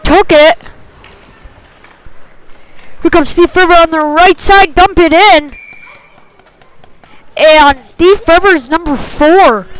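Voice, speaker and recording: A woman giving live hockey play-by-play in short clipped phrases, excitable on big plays. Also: sits high, poor audio. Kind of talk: live sports commentary.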